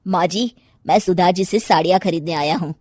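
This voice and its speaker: A woman's voice: fairly low pitch, a little fast, darkish tone, dry recording, clean studio sound, close to an everyday voice. A woman playing a cheerful, polite male customer in a cartoon story, respectful and good-humoured. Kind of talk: cartoon moral-story acting.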